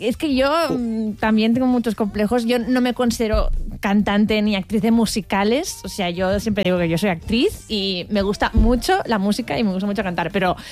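Modest, self-deprecating actress. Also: female voice, mid-range voice, moderate pace, a little mellow. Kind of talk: interview chat. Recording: faint background music.

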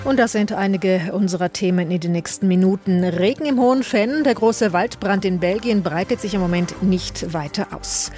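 A woman radio presenter reading traffic reports, weather and headlines in a crisp, even broadcast delivery from a studio. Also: mid-range voice, moderate pace, few pauses, faint music behind. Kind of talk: read news, traffic and weather bulletin.

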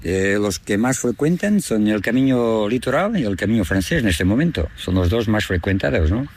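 A man's voice: fairly low pitch, big pitch swings, normal speed, a little mellow. A middle-aged Portuguese boatman and fisherman with a Portuguese accent. He is a native Portuguese speaker who chats casually and often tags his phrases with a questioning "no?". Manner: chatty, ends phrases with a questioning "no?". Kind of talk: casual interview on a boat.

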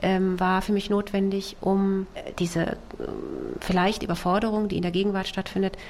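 A woman novelist with Russian as her first language, raised in Germany, answering interview questions thoughtfully with many pauses, fillers and restarts. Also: medium pitch, level tone, a little mellow, slight background noise. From Germany, child of Soviet immigrants. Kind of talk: literary interview.

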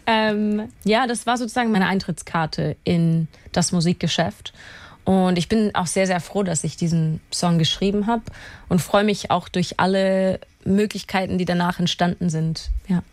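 A woman's voice: fairly low pitch, unhurried, darkish tone, dry recording. A woman singer-songwriter with a Canadian accent, chatting as a guest in a radio studio interview, laughing often and slipping English words into her talk. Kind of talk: radio interview, casual chat.

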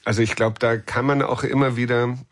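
A man from Munich answers interview questions conversationally. His pitch is middling and stays in a narrow range. He often repeats words while looking for the right phrasing. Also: flat delivery, brisk. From Munich, Germany. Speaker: film director interviewed about his film.

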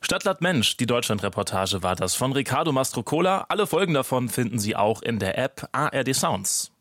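A male radio presenter announces the programme in a crisp, formal, scripted style. His voice sits mid-range and the studio sound is dry and close. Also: medium pitch, very animated, brisk, fairly bright tone, dry recording.

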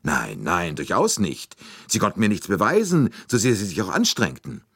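A man voicing an excitable, defensive Italian man who protests with scornful, indignant emphasis in an audiobook story. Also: deep voice, fairly quick, no echo, studio quality, exaggerated voice.

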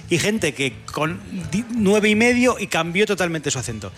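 A man's voice: high-pitched, big pitch swings, moderate pace, fairly bright tone, soft background music. A man in a casual discussion, explaining ideas and often restarting his phrases as he goes.

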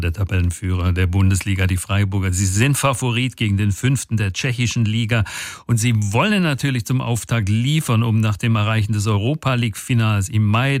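A man delivering a radio sports report in a brisk, conversational studio manner. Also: on the low side, no room sound. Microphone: studio microphone.

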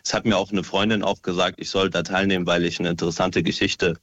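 A young man from Syria calling into a radio show, relaxed and a bit hesitant. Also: deep voice, fast talker, dry recording, dull audio. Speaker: caller. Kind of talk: casual phone call to a radio show.

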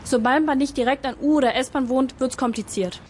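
A woman's voice: sits high, fairly quick, background noise. A nineteen-year-old woman speaking earnestly in a scripted video report. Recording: with background music.

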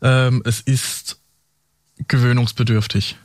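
A man who is a native speaker of both French and German, a police officer recounting events in a measured, explanatory way with pauses, as an interview guest. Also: medium pitch, level tone, dry recording. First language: French and German, bilingual. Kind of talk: recounting a case in a studio interview. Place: studio.

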